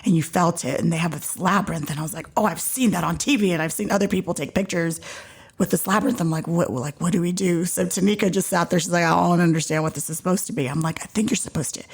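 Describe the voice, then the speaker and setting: Deep-voiced, gravelly woman podcaster. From Georgia, USA. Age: 40s. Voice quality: deep, loud voice. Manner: chatty and animated, drops into whispers for effect. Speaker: podcast host. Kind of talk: casual personal storytelling.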